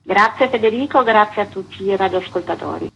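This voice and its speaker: A woman's voice: medium pitch, fairly quick, muffled recording, slight background noise. A woman explaining a project as a guest in a radio interview.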